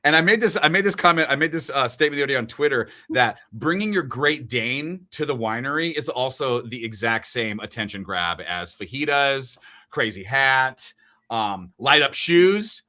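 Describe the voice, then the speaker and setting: Loud playful male radio host. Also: mid-range voice, lively intonation, normal speed. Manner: loud, playful, laughs often. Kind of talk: casual morning-show banter.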